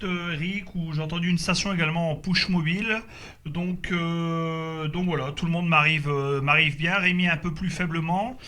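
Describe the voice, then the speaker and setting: A man's voice: a little high, bright, forceful tone. A man chatting casually as a radio operator during a group contact, speaking hesitantly and repeating his confirmations.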